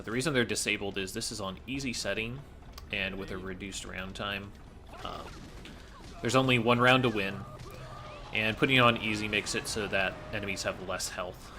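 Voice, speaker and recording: A man's voice: lowish voice, bright, forceful tone. A man giving explanatory gameplay commentary as a speedrunner, analytical and matter-of-fact.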